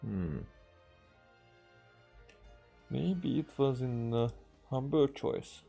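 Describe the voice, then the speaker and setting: A man streaming games, chatting casually in a hesitant, halting way as he thinks aloud. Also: medium pitch, lively intonation, gravelly, mellow, breathy edge. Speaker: game streamer. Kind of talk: casual livestream chat. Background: music.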